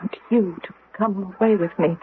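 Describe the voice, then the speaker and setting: A woman's voice: mid-range voice, fairly even intonation, moderate pace, lightly acted. An actress playing an impassioned, urgent Roman noblewoman in a breathless, theatrical 1970s radio drama style. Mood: pleading. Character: high-born Roman woman, impassioned, urgent, pleading. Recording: tinny, noisy 1970s radio recording.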